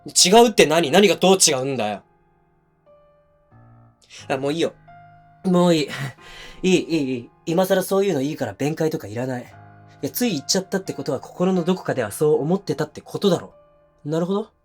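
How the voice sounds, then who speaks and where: A man's voice: on the high side, expressive, fairly quick, pauses often, soft background music. A male actor playing a defensive, hot-tempered young boyfriend in a voice drama, speaking bluntly and casually to the listener up close. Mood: hurt, accusing. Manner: blunt, casual masculine speech. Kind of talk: situation voice drama addressed to the listener. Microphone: close binaural microphone.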